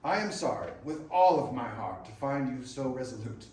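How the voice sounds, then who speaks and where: A man playing a cheerful, earnest younger gentleman, a good-hearted nephew, with exclamatory theatrical delivery in a staged reading. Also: mid-range voice, expressive, moderate pace, close to an everyday voice. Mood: hopeful, pleading. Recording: echoey room, distant mic, faint noise.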